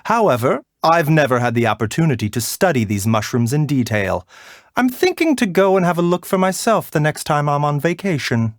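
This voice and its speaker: An actor playing a gentle, composed herbal doctor in a video game, polite and measured in manner. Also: male voice, on the high side, big pitch swings, dry recording, studio quality. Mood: calm explaining. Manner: soft-spoken, polite, measured, bedside courtesy. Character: gentle, courteous, composed male herbal doctor, frail yet unhurried. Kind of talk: video game character voice lines.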